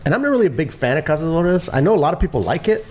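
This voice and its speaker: A man's voice: a little high, big pitch swings, very fast. A man chatting casually as a co-host of a tasting podcast. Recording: some background noise.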